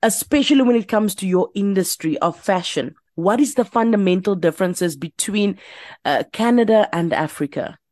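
Female radio interviewer, deliberate and unhurried, dry close sound. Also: mid-range voice, animated, a little mellow, no room sound. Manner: measured, deliberate questioning. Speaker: radio host.

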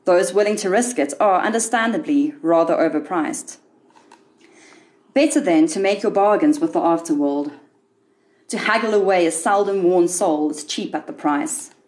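A woman reading a literary short story in a measured, wry storytelling manner. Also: fairly low pitch, a little mellow. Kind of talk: scripted literary narration.

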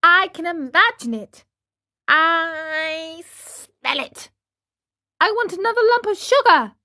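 A woman plays a stubborn little wooden puppet boy from a storybook. The voice is very high and swoops wildly, full of petulant wailing. It is whiny, melodramatic and drawn out. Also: expressive, slow, sharp tone, exaggerated voice. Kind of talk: storybook character reading.

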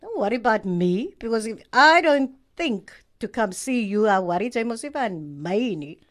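A woman's voice: on the high side, lively intonation, moderate pace, lightly acted. A woman playing a bossy, critical visiting grandmother in a radio drama, with a Cape Town South African accent and a scolding, imperious manner. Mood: haughty, guilt-tripping. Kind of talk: amateur radio drama acting.

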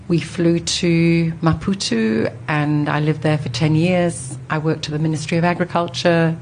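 Woman in her 60s, pretty low voice, telling her life story in an interview, thoughtful and articulate. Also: darkish tone, slight background noise, low-quality recording. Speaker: interview guest. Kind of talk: reflective personal storytelling in an interview.

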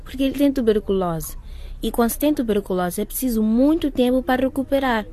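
Casting: actress as weary teenage girl, patient big sister, fairly high voice, radio drama. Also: fairly quick, dark tone, lightly acted.

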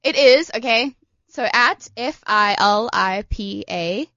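Giggly young woman with a slightly raspy voice. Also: on the high side, slow, bright, forceful tone, dry recording. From South Africa, of Portuguese family. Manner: giggly, self-deprecating, chatty. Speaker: guest singer. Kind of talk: casual radio chat. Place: radio studio. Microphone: studio microphone. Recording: dull, dry studio sound.

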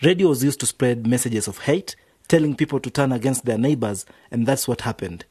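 An actor playing a cautious, level-headed music producer in a radio drama, speaking in a measured, slightly hesitant way. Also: male voice, medium pitch, a little mellow, husky, no echo, clean studio sound, lightly acted. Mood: serious, cautioning.

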